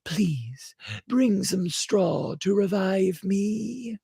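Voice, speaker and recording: A storyteller voicing a frail, indignant little spark with a breathy, gasping, dramatic delivery. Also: mid-range voice, animated, very slow, dark tone, no echo, studio quality, voice pushed up, heavily acted. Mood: weak pleading.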